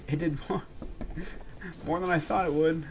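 A man's voice: a little high, steady tone, takes it slowly. A young man with a stuffy voice and a sore throat, chatting casually and muttering in frustration as he plays through a game. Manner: laid-back, swearing, frustrated muttering. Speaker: gaming channel host. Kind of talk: casual let's-play commentary. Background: game music.

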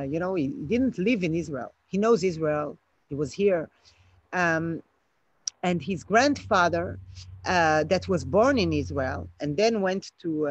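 A woman's voice: lowish voice, darkish tone, no echo. A woman director, speaking hesitantly and thoughtfully over a video call from outdoors at night. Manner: hesitant, thoughtful, many fillers. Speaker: film director. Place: outdoors at night, home garden. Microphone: laptop webcam video call. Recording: dull lo-fi video call audio.

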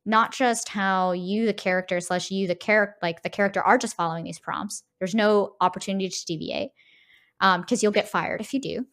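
A woman's voice: medium pitch, fairly quick, a bit bright. A woman co-hosting a podcast in casual discussion, often tagging her phrases with a questioning "right". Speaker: podcast co-host. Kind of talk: casual discussion of a video game.

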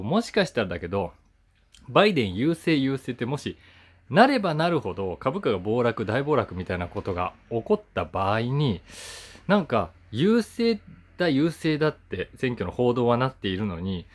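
Male, 40s, casual solo commentary, conversational and musing, very lively intonation. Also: medium pitch. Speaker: voice actor hosting his own talk channel. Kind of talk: casual chatty commentary to camera.

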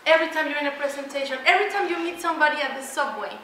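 A middle-aged woman from Mexico giving an energetic, emphatic motivational talk to students, laughing often as she walks around. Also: sits high, rapid-fire, noisy background. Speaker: keynote speaker. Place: large lecture hall. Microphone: far room pickup. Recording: echoey hall from far off.

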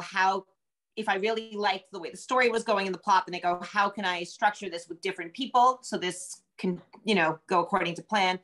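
A woman's voice: medium pitch, pushed and bright. A middle-aged American woman talking casually as an interview guest on a video call, thinking aloud as she goes. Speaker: guest novelist. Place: indoor room at home, video call.

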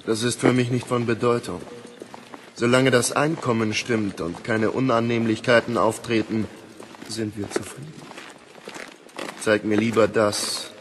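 A man's voice: fairly low pitch, steady tone, unhurried, lightly acted. A man playing a cold, haughty, businesslike villain in a fantasy audio drama. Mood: cold, commanding. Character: cold, haughty, businesslike male client, sinister. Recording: clear background noise.

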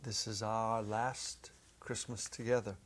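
A middle-aged man giving a measured, expressive dramatic reading of a story as narrator. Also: fairly low pitch. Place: small indoor set. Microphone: lapel.

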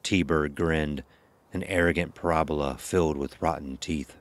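Male narrator for scripted horror fiction, exceptionally deep register, backed by music. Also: steady tone, unhurried.

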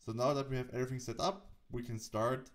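A man presenting a software tutorial, narrating the setup step by step. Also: mid-range voice, steady tone, fast talker.

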